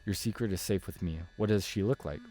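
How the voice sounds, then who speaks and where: A man's voice: on the low side, fast, dark tone, close to an everyday voice. A man voicing a small, curious boy who is timid yet eager to help, in a visual novel read-aloud.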